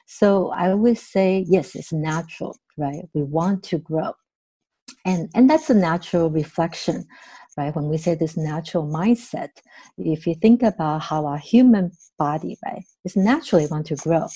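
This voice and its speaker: Mellow-voiced woman. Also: low-pitched, normal speed, breathy edge, low-quality recording. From Taiwan. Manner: thoughtful, with many fillers and "right?" tags. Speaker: guest, therapist in training. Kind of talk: podcast interview.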